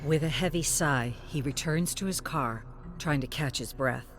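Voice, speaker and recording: A woman with a very low voice delivers scripted story narration. She reads as a narrator, with soft music underneath. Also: normal speed.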